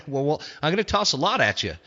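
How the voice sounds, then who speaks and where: A man's voice: fairly high pitch, sing-song, brisk, bright, forceful tone, lo-fi sound. An American man hosting a podcast in a casual, conversational way, close on a studio microphone. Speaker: podcast host.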